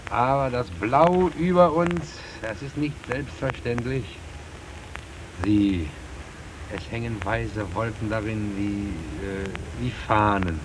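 A man's voice: fairly low pitch, takes it slowly. A man playing a dreamy, defiant mine worker with bitter irony, musing and theatrical in an old German radio drama. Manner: musing, theatrical, swinging from soft wonder to outcry. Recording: noisy archival radio play recording.

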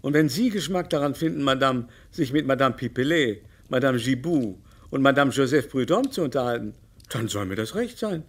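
An actor playing a haughty, capricious aristocratic baron in a radio play, speaking in a pompous, mannered, scornful way. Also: male voice, medium pitch, animated, moderate pace, husky. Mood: disdainful.

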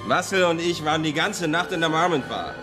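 An actor playing a cocky, defensive murder suspect in a German radio crime drama, evasive, snappy and flippant. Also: male voice, on the high side, lively intonation, brisk, over music, natural voice.

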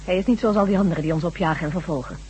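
An actress plays a meek, submissive doctor with a quiet streak of defiance in a radio drama. The recording carries faint noise and hum. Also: female voice, on the low side, very fast, lightly acted, low-quality recording. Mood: meek, earnest. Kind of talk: Dutch radio drama acting.

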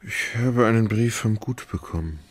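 Actor playing a hesitant, husky, anxious gentleman in love. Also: on the low side, moderate pace, close to an everyday voice. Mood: anxious, tender. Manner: hesitant, wavering. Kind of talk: radio play acting. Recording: studio radio play with faint music behind.

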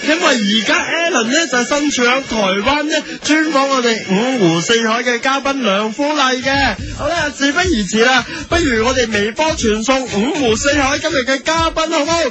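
A man's voice: very high voice, normal speed, hardly any pauses, crowd noise. A man playing a chirpy music-show DJ with a Hong Kong Cantonese accent and exaggerated presenter patter in a radio parody. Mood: perky.

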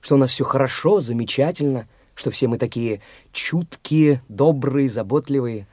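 A man's voice: medium pitch, normal speed, darkish tone, no echo, close to an everyday voice. An actor playing Mitya, a brash, pushy young man who talks in a taunting, blunt way, in a studio radio play.

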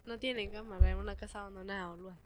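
A woman's voice: medium pitch, fairly even intonation, racing, a little mellow. A woman with an Argentine accent doing an improvised acting exercise, with some noise behind her. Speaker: improv theatre student. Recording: faint background noise.

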